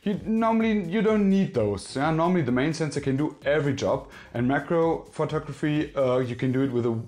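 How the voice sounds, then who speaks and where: A man's voice: fairly high pitch, fairly quick, darkish tone. Nervous German man reviewing phones. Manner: nervous, self-correcting, friendly. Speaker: tech reviewer.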